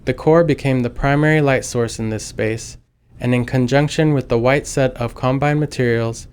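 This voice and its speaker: A man's voice: medium pitch, fairly even intonation, normal speed, a little mellow, smooth. A man speaking as a game developer, narrating commentary.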